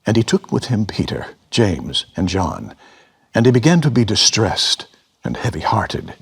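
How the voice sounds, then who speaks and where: Husky man with expressive, lively scripture delivery. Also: on the low side, unhurried. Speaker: voice actor reading scripture. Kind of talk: dramatic scripture reading.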